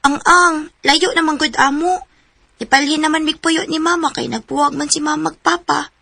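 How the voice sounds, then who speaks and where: A woman's voice: sits high, steady tone, moderate pace, vocal fry, sharp tone, dry recording, heavily acted. A young woman playing a struggling student who confides her family troubles to a friend, in a radio drama. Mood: sad. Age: young adult.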